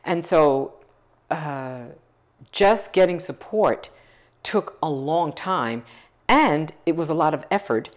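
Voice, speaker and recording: A middle-aged woman speaks with a low, full voice, pressing her points with passion and emphasis. She sits for a relaxed interview on a living room couch, recorded close on a lapel mic. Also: takes it slowly. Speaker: foundation CEO. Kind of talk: interview conversation.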